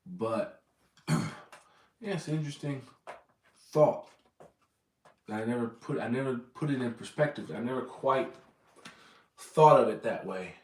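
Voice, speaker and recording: A man's voice: mid-range voice, lively intonation, unhurried, long pauses, reverberant, far from the mic. An American man from Southern California in his thirties, rambling casually to his viewers and correcting himself as he goes. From Southern California, USA. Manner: meandering, corrects himself often. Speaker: vlogger.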